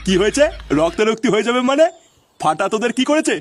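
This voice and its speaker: A man's voice: very high voice, sing-song, fast talker. A man voicing a crude-tongued, doting old grandfather in a comic cartoon dub. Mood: irritable. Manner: grumbling, crude.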